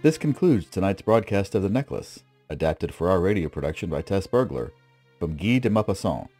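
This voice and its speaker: A man announces for a radio drama broadcast. His voice is mellow and a little low, with very lively intonation as he reads the script. Music plays softly behind him. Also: moderate pace.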